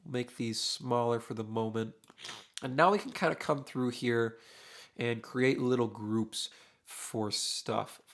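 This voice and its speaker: Relaxed young man explaining a mixing setup. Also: medium pitch, slow. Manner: relaxed, thinking aloud with many uhs while working. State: losing his voice. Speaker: mixing engineer teaching a tutorial. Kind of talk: casual screen-recorded tutorial. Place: home studio with drums behind.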